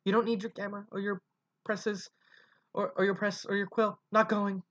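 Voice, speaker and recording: A reader voicing a dazed young colt who murmurs in halting, dreamy fragments with stammers. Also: medium pitch, flat delivery, fairly bright tone, pitched above the performer's own voice, lightly acted. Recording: dull lo-fi recording.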